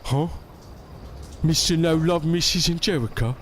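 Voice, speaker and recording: An actor playing a big, gentle, simple-minded man who talks haltingly in broken, childlike sentences in an audio drama. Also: a little high, slight background noise, natural voice. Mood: puzzled. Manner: simple broken third-person speech, halting, stammering.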